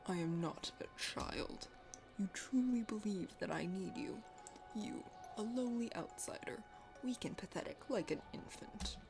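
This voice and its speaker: Here is a haughty, hot-tempered dragon prince from a fantasy game, acted out by a woman. The voice is gravelly and sits low for the performer, full of boasts and scorn. Music plays behind it. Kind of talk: dramatic game character reading.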